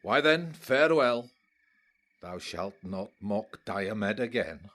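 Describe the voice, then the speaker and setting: Slow, swooping, imperious Greek warrior played by an actor. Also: male voice, lowish voice, animated, a bit bright. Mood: proud, impatient. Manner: imperious, impatient. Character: Diomed, blunt, demanding, proud Greek warrior. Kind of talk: theatrical Shakespearean verse acting.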